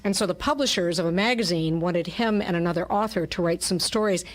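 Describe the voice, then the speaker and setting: A woman's voice: fairly low pitch, a little fast. Chatty woman guest explaining her theatre group. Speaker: theatre troupe representative, radio guest. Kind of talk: casual interview talk. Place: radio station studio.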